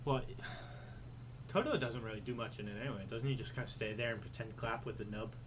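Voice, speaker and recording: A man in the middle of an ordinary pitch range, co-hosting a podcast. He gets excitable and swears freely, and his voice jumps in loudness when he gets worked up. A faint hiss of noise runs behind him. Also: quick pace. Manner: excitable, profane, animated swings in loudness. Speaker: podcast co-host. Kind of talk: casual chat.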